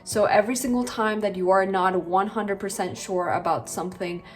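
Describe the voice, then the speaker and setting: A woman's voice: mid-range voice, moderate pace, faint music behind. Chatty young Colombian woman vlogger. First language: Spanish. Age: 20s. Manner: chatty, many likes and you-knows. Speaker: language vlogger. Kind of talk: casual chat to camera.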